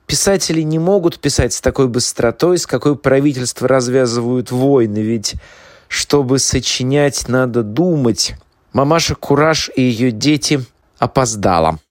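Male actor as reflective playwright, mid-pitched, deliberate phrasing, dramatic reading, close dry recording. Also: dry recording, lightly acted. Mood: grave. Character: reflective male playwright looking back on his warning.

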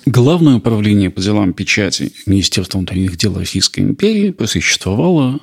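Creaky-voiced male podcast host. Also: on the low side, very animated, brisk. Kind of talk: scripted narration.

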